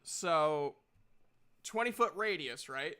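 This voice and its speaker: A man casually narrating a tabletop game as game master, halting and thinking aloud as he goes. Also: on the high side, expressive, pushed and bright, slight background noise.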